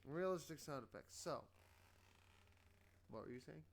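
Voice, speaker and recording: A man's voice: a little high, expressive, a little slow, gravelly. A man hosting a casual live-stream movie night, bantering and teasing his guest.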